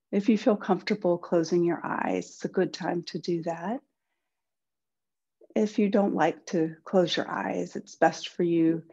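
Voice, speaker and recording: A woman with a slightly low, soft and breathy voice leads a guided meditation. She speaks very slowly and gently, in a soothing way that leaves room between instructions. The sound is a little dull. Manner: soothing, gentle, unhurried with pauses. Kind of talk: guided body-scan meditation.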